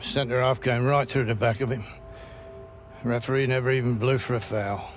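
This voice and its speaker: A man's voice: mid-range voice, fairly even intonation, moderate pace, close to an everyday voice. A man playing a plain-spoken, caring older relative in a video game cutscene. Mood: somber, reminiscing. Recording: game dialogue over faint music.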